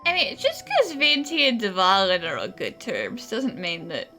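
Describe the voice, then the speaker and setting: Bright, very animated woman gaming streamer. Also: medium pitch, unhurried, soft background music. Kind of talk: casual play-along commentary.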